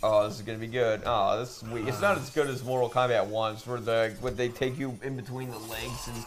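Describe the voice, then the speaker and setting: A man's voice: mid-range voice, fairly even intonation, brisk, soft background music. A man in a casual, informal podcast chat, talking loosely while playing a video game. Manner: informal, swearing. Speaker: podcast co-host.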